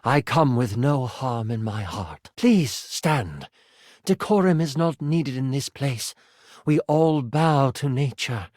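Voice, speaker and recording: A man voicing a regal, gracious warrior queen in a fantasy game, with formal, measured delivery. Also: medium pitch, expressive, darkish tone, dry recording, studio quality, voice pushed down. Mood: calm, gracious.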